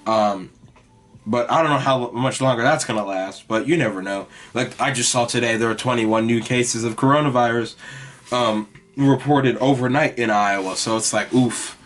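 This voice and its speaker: Rambling young man, raspy voice. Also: medium pitch, moderate pace. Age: young adult. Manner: rambling, heavy use of "like" and "you know"; mostly monotone delivery. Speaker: podcast host. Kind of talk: casual rambling monologue.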